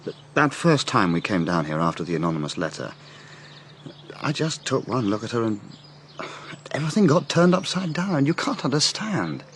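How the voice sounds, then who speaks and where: An actor playing a troubled, conflicted young gentleman in a British radio drama, well-spoken and earnest, with an English accent. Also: medium pitch, very animated, moderate pace, a little hiss or hum. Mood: anguished, confessing.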